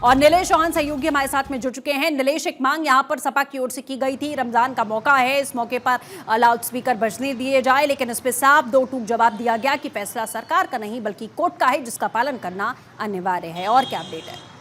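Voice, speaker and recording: A woman's voice: sits high, fairly quick, a bit bright, a little hiss or hum. A woman anchoring a television news bulletin in a broadcast news delivery. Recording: music bed behind the voice. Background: news music.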